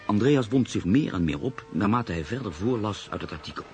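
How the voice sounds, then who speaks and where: Deep-voiced, world-weary literary man. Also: lively intonation, music in the background. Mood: wry, reflective. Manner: measured, reflective, formal wording. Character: cultured, world-weary male journalist and writer, calmly ironic. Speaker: lead actor and first-person narrator. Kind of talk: Dutch radio drama acting and narration.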